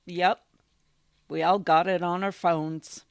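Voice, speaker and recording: Young female acted role, matter-of-fact, relaxed attitude, radio drama, dry recording. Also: on the low side, slow, gravelly, clean tone, no echo. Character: matter-of-fact, easygoing young woman of a tolerant generation.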